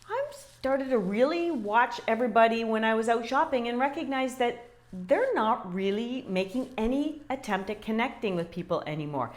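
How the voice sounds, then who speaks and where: A woman with a loud voice, talking casually and directly to her viewers as a wellbeing coach, full of questions for them. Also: a little high. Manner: chatty, animated, talks straight to viewers with questions. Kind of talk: casual direct-to-camera talk.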